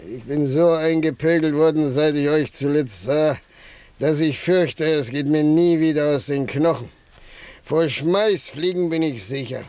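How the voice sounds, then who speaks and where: A tipsy clown of a jester played by a man, with a highish, whining voice. He is rueful and comic in classic radio drama style, recorded dry. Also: a little slow, a little mellow, no room sound, close to an everyday voice. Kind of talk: classic German radio play acting.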